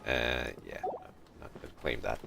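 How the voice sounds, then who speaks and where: A man's voice: extremely low pitch, flat delivery, a little slow, vocal fry, noisy background. A man giving casual gameplay commentary as a livestreamer. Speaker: gaming livestreamer.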